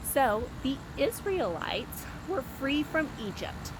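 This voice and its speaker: A woman's voice: high-pitched, very animated, slow pace, background noise. A woman hosting a Bible story time for young children, explaining simply and expressively with questions and asides.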